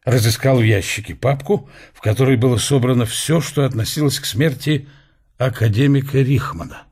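A man narrating a literary radio drama in an expressive scripted reading. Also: mid-range voice, moderate pace, breathy edge.

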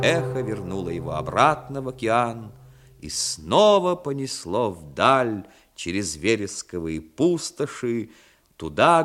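A man narrating a 1960s radio play as a solemn, expressive storyteller. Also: lowish voice. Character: solemn storyteller of a seaside tale. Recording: 1960s radio recording with music. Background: music.

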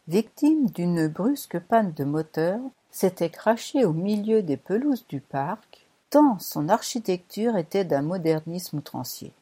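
Low, mellow-voiced woman reading a novel. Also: slightly rough. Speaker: audiobook narrator. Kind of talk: scripted first-person novel reading.

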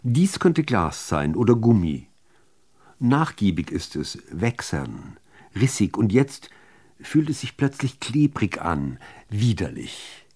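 A man reciting literary poetry in deliberate, measured phrases with pauses between them. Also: fairly low pitch, very animated, darkish tone, husky. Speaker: reader of poetry.

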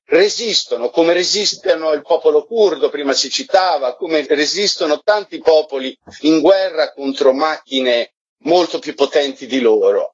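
A man's voice: on the high side, slightly rough, no room sound, lo-fi sound. A man with an Italian accent, a theatre director being interviewed, telling a story in an emphatic, explanatory way. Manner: emphatic, repeats and lists for effect. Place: remote link from Florence.

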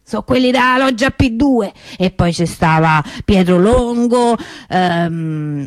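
A woman's voice: fairly high pitch, dry recording. A woman playing a plain-spoken, cheated Roman street vendor in a theatre monologue, telling a story colloquially and hesitantly with frequent tag questions. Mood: wry, confiding.

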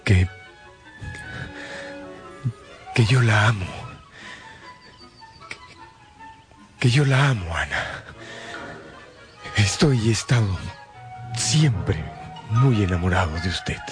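A suave gentleman lover in a radio drama. He speaks earnestly and ardently in a fairly low voice, with faint music underneath. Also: animated, slow pace, pauses often. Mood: ardent declaring. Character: smooth-talking, ardent gentleman seducer.

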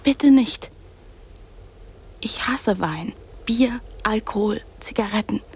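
An actress playing an imaginative, bossy little girl in a radio drama, often dropping into secretive whispers and then insisting loudly. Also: medium pitch, expressive, slow, slight background noise. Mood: bossy, excited. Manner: hushed, secretive whispering broken by sudden loud insistence.

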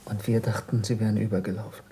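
Guy playing earnest younger pupil in 60s radio play, bit deep, urgent stagey delivery, slight hiss. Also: level tone, quick pace, lightly acted. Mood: excited, insistent. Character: earnest, intense younger male former pupil of a scientist. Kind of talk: 1960s German radio play acting. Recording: slightly noisy old radio recording.

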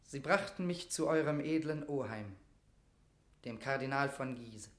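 A man's voice: mid-range voice, expressive, unhurried, fairly bright tone. Fervent young nobleman in echoing room. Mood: exalted. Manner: declamatory, impassioned, solemn. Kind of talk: classic German verse drama, declaimed radio acting.

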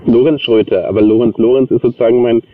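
A man speaking on the phone in a halting, hedging, somewhat apologetic way. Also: mid-range voice, fairly even intonation, far from the mic, phone-quality sound. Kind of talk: hesitant phone conversation. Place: on the phone from a hotel room.

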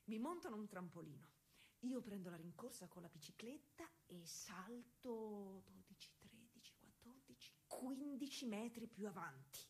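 An actress playing a proud, eager young woman racing cyclist of the early 1900s in a conversational, insistent stage monologue, with a husky voice. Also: medium pitch, animated, normal speed, darkish tone. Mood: proud, boastful. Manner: explanatory, conversational, insistent with repeated checks. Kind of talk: theatrical monologue addressed to an unseen listener.

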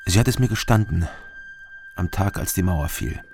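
An actor playing a world-weary, secretive, hard-drinking man in a radio drama, low and gravelly, speaking tersely and evasively. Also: moderate pace, loud music behind the voice. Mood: guarded, reassuring. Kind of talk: naturalistic radio drama acting.

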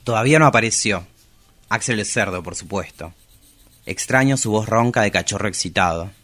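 A man's voice: lowish voice, lively intonation, normal speed, fairly bright tone. A man playing a longing, seductive travesti poet in an intimate radio drama monologue. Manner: confessional, seductive.

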